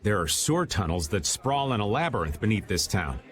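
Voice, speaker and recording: Sly older rogue, swooping delivery. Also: male voice, lowish voice, sing-song, brisk. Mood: sly, breezy. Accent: American. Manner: folksy, teasing, theatrical. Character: sly, jokey, slouching older rogue of a man. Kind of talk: anime video game dub acting.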